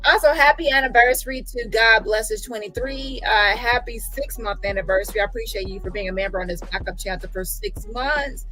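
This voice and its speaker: A woman's voice: a little high, brisk, hardly any pauses, bright, forceful tone, rough recording. A woman host giving casual reaction commentary, blunt and sassy, repeating phrases for emphasis. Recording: music playing behind the voice.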